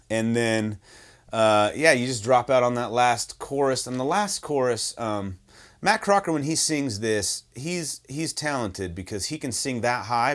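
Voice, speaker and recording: A man with a baritone voice teaching a worship song on guitar, talking in a relaxed, self-deprecating way full of ums and uhs. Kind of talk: casual guitar tutorial talk. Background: acoustic guitar playing.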